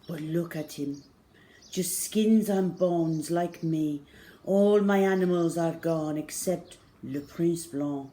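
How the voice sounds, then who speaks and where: A woman's voice: on the low side, warm tone. Woman voicing a frail, weary old Frenchman. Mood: sorrowful. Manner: halting, regretful, speaking some French.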